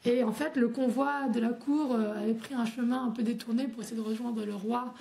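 A woman's voice: fairly high pitch, fairly even intonation, fairly quick, vocal fry, warm tone. A woman historian explaining the period at length, with frequent hesitations and restarts. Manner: hesitant, many fillers and restarts. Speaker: historian guest. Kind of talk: academic explanation.

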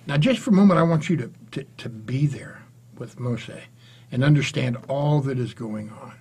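An older man, sixties or beyond, with a husky voice, giving an earnest, informal Bible lesson with emphatic reading and quieter asides. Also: mid-range voice, normal speed. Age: 60s or older. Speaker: storytelling Bible teacher. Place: small indoor room with curtain and bookshelf.

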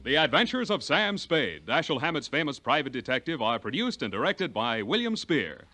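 A man's voice: on the high side, big pitch swings, moderate pace, loud music behind the voice. A man working as a radio announcer, reading scripted sponsor copy and credits in polished, emphatic 1940s style. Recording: 1940s radio broadcast recording.

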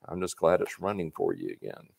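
A man's voice: rumbling low, sing-song, brisk, creaky, breathy edge. A man, hosting a live Q&A from a workshop and giving casual, folksy repair advice. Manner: folksy, chatty, reads questions aloud and adds anecdotes. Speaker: parts company host answering viewer questions. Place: workshop garage with motorcycles, indoors.

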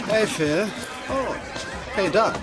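Friendly neighbour, lively highish voice. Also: male voice, takes it slowly, heavy background noise. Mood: friendly, persuasive. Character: friendly neighbour, gently persuasive dog-shelter volunteer. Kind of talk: dubbed sitcom acting. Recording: loud crowd and music behind.

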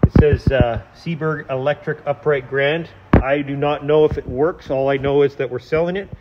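A man giving a casual, unscripted walkthrough as an auctioneer, with an enthusiastic salesman's pitch, in a large indoor warehouse. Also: fairly even intonation, moderate pace, highs cut off, noisy background. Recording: reverberant large hall.

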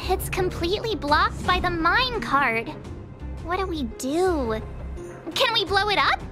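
A woman's voice: very high-pitched, lively intonation, unhurried, music in the background. An actress voicing a bubbly, curious teenage girl adventurer in an anime-style video game, perky and chatty. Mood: puzzled.

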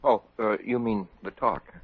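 A man's voice: fairly low pitch, steady tone, unhurried, slightly rough, dry recording. An actor playing a restless, stubborn young boyfriend and hapless salesman in 1940s radio comedy style. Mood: uneasy. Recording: muffled old radio recording.

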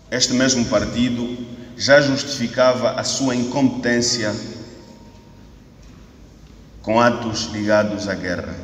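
A man's voice: medium pitch, recorded from a distance. Angolan man giving a fiery speech in an echoey hall. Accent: Angolan Portuguese. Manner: rhetorical questions, repeats phrases for emphasis, rises to shouting. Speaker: opposition party spokesman. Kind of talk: political press statement. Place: indoor hall at a podium. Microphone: podium mic on a stand, camera at a distance. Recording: echoey hall recording from the camera, faint ambient noise.

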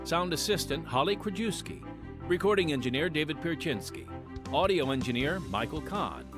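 A man's voice: a little high, expressive, normal speed, music in the background. A man hosting a radio drama podcast, reading scripted announcements and narration to listeners.

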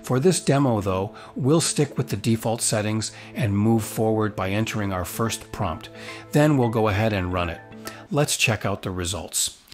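A man's voice: fairly low pitch, lively intonation, normal speed, darkish tone. A man hosting a tutorial with upbeat, promotional scripted narration. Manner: enthusiastic, promotional. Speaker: tutorial host. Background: background music.

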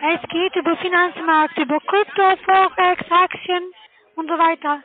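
Female telemarketer, very high voice, foreign accent, non-native phrasing, thin muffled phone line. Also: fairly even intonation, normal speed. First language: not German. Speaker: cold caller selling online investments. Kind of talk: phone sales pitch. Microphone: telephone call.

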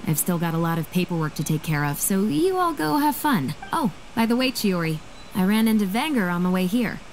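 An actress playing a poised, courteous young officer in a video game, speaking in a businesslike way. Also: female voice, mid-range voice, big pitch swings, a little fast, slight background noise, low-quality recording.

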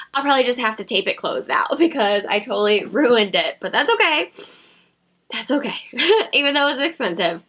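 A woman in her thirties talks to camera from her home beauty room, chatty and giggly, making fun of herself. Her voice is a bit high and bright, with a slight nasal, stuffy sound from a cold. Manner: chatty, giggly, self-mocking. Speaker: beauty vlogger. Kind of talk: casual haul chat to camera.

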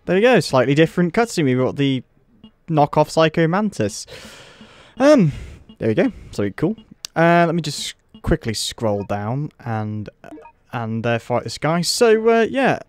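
A man's voice: fairly high pitch, big pitch swings, measured pace, soft background music. A man with a British accent giving casual, wry commentary while playing a game and laughing at it. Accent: British English. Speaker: let's play host.

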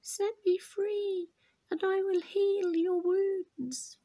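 A tiny, gentle spirit creature pleading from a storybook, voiced by a woman. The voice is very high and soft, with slow, drawn-out words. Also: level tone, heavily acted.

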